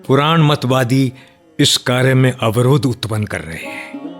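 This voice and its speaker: An actor playing an earnest, courteous nationalist reformer in a radio drama, measured and formal. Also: male voice, medium pitch, soft background music, close to an everyday voice. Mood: earnest, troubled.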